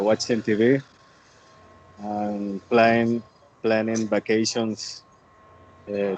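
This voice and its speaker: A man's voice: lowish voice, darkish tone. A man in his thirties with a Colombian Spanish accent, introducing himself to his class over a video call with many fillers and restarts. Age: 30s. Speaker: student. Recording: muffled call audio, faint noise.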